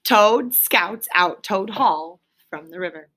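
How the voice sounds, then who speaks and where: A woman's voice: mid-range voice, takes it slowly, bright, forceful tone. A woman reading a children's story aloud expressively, as a storyteller who explains things to a listener. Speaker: reader, storyteller.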